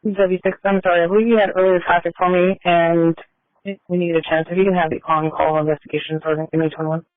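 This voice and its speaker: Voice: medium pitch, flat delivery, fairly quick, no echo, phone-quality sound. A police officer on scene giving terse tactical updates over a scanner radio in a businesslike manner with clipped call signs. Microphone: two-way radio.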